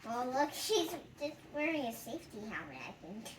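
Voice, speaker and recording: A child reading a picture book aloud, adding chatty, giggly, excitable commentary. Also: sits high, normal speed. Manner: giggly, excitable, acts out characters' lines. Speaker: storytime reader. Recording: roomy, distant mic, background noise.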